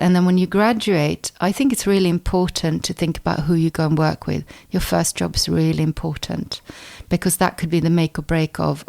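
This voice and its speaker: A woman's voice: low voice, vocal fry, a little mellow. A woman in her fifties who grew up in Sweden, a chiropractor talking as an interview guest in a thoughtful way, restarting and repeating words as she goes. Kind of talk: conversational interview.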